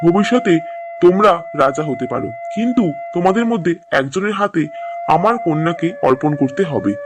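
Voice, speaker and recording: A man voicing a solemn, fatherly old king in an animated fairy tale, with a stately, declamatory delivery. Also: on the high side, moderate pace, noisy background, natural voice. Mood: stately, commanding. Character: solemn fatherly old king seeking a groom for his daughter.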